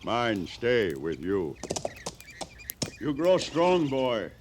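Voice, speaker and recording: An actor playing a proud, grave Cheyenne warrior who speaks halting broken English in a radio western. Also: male voice, medium pitch, huge pitch swings, exaggerated voice. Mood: solemn. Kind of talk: 1950s radio western acting. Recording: noisy old radio drama recording.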